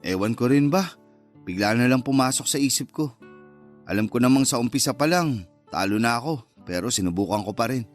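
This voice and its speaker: A man's voice: medium pitch, lively intonation, fairly quick, soft background music, close to an everyday voice. A man playing the wistful, sulky lover of a married woman in a Tagalog radio love drama, casual and understated. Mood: hurt, resigned.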